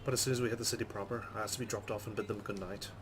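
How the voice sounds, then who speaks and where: A man's voice: on the low side, fairly even intonation, racing, background noise. A man hosting a let's play, reading game narration aloud dramatically and adding muttered, sweary asides. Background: game music.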